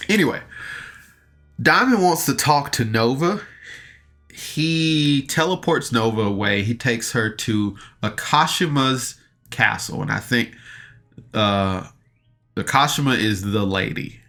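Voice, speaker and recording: Bright-voiced man rambling through a casual review. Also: mid-range voice, lively intonation, slow, faint music behind. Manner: rambling, hesitant, often backtracking and rephrasing. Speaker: podcast reviewer.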